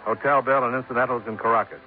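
An actor playing a tough, cool-headed American insurance investigator, terse and dry, in 1950s radio detective style. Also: male voice, medium pitch, fairly even intonation, fast talker, slight background noise, natural voice. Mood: dry narration. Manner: terse, hard-boiled, dry. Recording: tinny narrow-band old radio recording.

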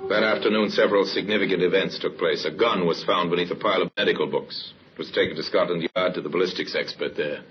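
Very deep, grave radio crime narrator, played by a man. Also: steady tone, faint music behind, lightly acted. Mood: grave, suspenseful. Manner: grave, measured storytelling. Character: solemn male narrator of a crime story. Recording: muffled old radio recording with hiss.

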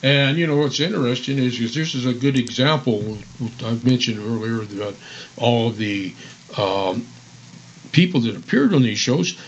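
A man's voice: medium pitch, moderate pace, dull audio, a little hiss or hum. An American man co-hosting a radio show with casual, chatty commentary.

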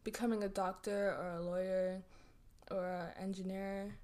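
A young woman in her twenties chatting casually as a podcast guest, rambling with lots of fillers and quick giggles. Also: medium pitch, level tone, darkish tone. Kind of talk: casual chat.

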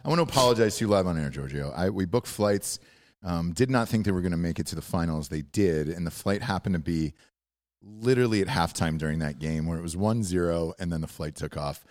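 A man's voice: low-pitched, a little fast, darkish tone. A man co-hosting a sports podcast, trading casual, jokey banter with some swearing.

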